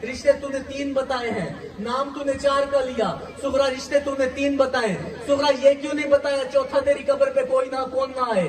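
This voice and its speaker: A man's voice: very high-pitched, level tone, moderate pace, hardly any pauses, some chatter behind, voice pushed up. A man voicing a grieving male imam who speaks tenderly to his daughter while weeping. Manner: weeping, pleading speech. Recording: echoey sound from far off.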